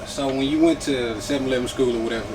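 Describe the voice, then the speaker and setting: A woman's voice: extremely low pitch, rapid-fire, a loud crowd. A woman interviewing a guest in a casual way, with laid-back slang and short affirmations. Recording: distant mic with loud air-conditioning hum.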